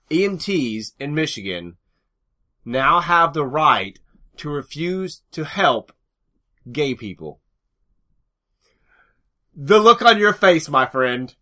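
A man chatting as a podcast co-host, rambling and halting, restarting his thoughts as he goes. His voice is bright and sharp, sitting slightly high for a man. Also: sing-song. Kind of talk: casual podcast chat.

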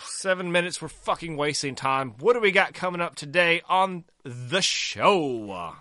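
Bright-voiced Southern man bantering on a podcast. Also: fairly high pitch, animated, unhurried, no room sound, studio quality. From Southern United States. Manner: joking, profane, rambling with restarts. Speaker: podcast co-host.

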